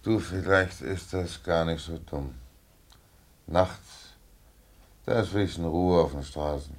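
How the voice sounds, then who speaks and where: An actor playing a bitter, hard-drinking former tram driver in a radio play, hesitant and grumbling. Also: male voice, sits low, takes it slowly, exaggerated voice. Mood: doubtful, weary. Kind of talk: naturalistic German radio play dialogue.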